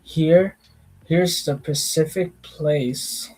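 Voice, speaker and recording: A sleepy young man reads quiz explanations aloud at a slow, dragging pace, his slightly higher voice husky and breathy. He mumbles and restarts his sentences as he studies late at night, with faint music playing behind him on a webcam mic. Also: fairly even intonation, a little mellow, poor audio. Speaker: livestreamer studying for a test. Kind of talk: casual late-night study livestream.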